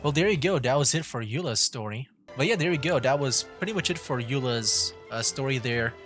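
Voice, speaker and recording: A man's voice: mid-range voice, expressive, brisk, a bit bright. A man chatting casually as he streams a game, with informal nerdy asides. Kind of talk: casual gaming stream commentary, reading some dialogue aloud. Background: game music.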